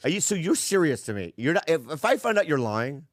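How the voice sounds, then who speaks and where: A man's voice: a little high, very animated, brisk, no room sound, studio quality. A man co-hosting a comedy podcast, questioning his guest playfully and with mock disbelief, laughing often. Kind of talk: casual comedic podcast banter.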